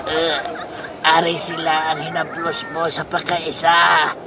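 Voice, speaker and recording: Casting: an actor playing sentimental clucking hen-yard parent, unhurried, comic radio play, noisy crowing background. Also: medium pitch, monotone, a crowd in the background. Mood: plain, clucking. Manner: clucks between phrases, drawn-out delivery. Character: parent chicken, clucking, fond and emotional. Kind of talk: comic radio drama acting. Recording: very noisy, rooster and flock ambience.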